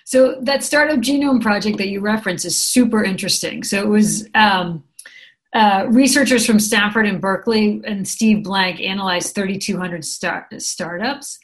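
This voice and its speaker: A middle-aged woman with an American accent chats through an interview, upbeat and explanatory. Her voice sits at an everyday middle pitch, unhurried and friendly in tone. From San Francisco, USA. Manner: chatty, upbeat, explains with examples. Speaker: guest, program director. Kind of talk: conversational interview answers.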